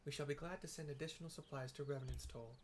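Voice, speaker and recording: A person reading game dialogue aloud as a formal, earnest fantasy character, with theatrical, archaic, deliberate delivery. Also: medium pitch, steady tone, a little hiss or hum, natural voice. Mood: gracious. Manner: theatrical, stately, deliberate wording.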